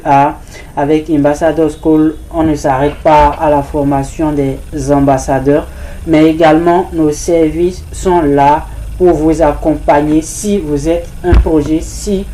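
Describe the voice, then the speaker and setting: A woman's voice: low voice, mellow, slight background noise, poor audio. A woman giving an informal spoken presentation of a service, hesitant, with frequent restarts and filler words. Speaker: presenter of a crypto ambassador training service.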